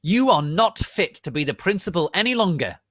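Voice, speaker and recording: A man voicing a stern, outraged female official who declaims loudly and emphatically in a story reading. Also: sits high, big pitch swings, no room sound, studio quality, pitched above the performer's own voice, exaggerated voice. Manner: shouting, slow emphatic declamation.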